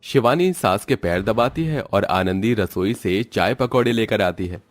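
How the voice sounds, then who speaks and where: A man's voice: on the low side, measured pace, no echo, faint music behind. A man reading scripted narration as the storyteller of a cartoon moral tale.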